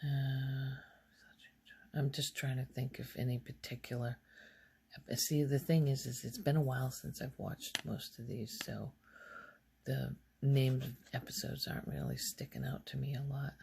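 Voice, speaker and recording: A woman speaking softly in casual ASMR style, often slipping toward a whisper, halting as she thinks aloud. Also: very deep voice, fairly even intonation, creaky, a little hiss or hum. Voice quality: soft, often breaking into whisper. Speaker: ASMR video host. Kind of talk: soft-spoken ASMR, casual rambling show-and-tell.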